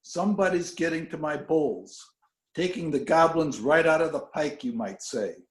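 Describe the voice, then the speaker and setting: A man's voice: on the high side, animated, normal speed, close to an everyday voice. A man reading a story aloud, voicing a crude, boastful, folksy male bull breeder. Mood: indignant. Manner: blustering, folksy. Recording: roomy, echoing video call audio.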